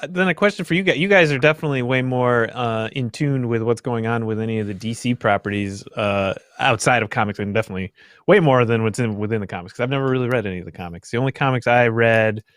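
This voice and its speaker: A man's voice: mid-range voice, fast talker, a bit bright, no echo, studio quality. A young adult man chatting casually in a group, informal and loose with swearing. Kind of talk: casual group chat about superhero shows.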